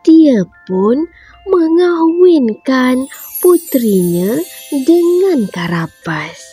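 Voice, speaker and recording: A woman reading a bedtime story for children, in a slow, gentle, sing-song way with a breathy voice. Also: on the high side.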